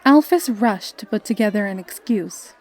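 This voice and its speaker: A woman's voice: mid-range voice, expressive, normal speed, a little mellow, some chatter behind. A woman reading scripted story narration.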